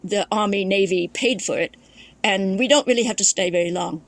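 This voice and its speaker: A woman's voice: mid-range voice, fairly quick, vocal fry. A woman from Thailand, a podcast guest and memoirist, recalling her family history in a reflective storytelling way, often restarting her sentences. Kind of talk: casual conversation.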